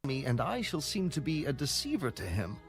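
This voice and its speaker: An actor playing a hesitant, deceitful younger son in a dramatised audio Bible, with earnest, formal delivery. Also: male voice, medium pitch, big pitch swings, a little fast, gravelly. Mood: worried. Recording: faint background music under the voice.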